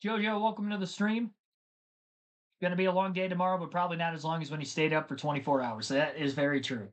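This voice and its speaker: A man's voice: fairly high pitch, fairly even intonation, fast talker. A man hosting a live race stream, chatting casually and rambling to his viewers between race updates. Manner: chatty, rambling, talks to chat.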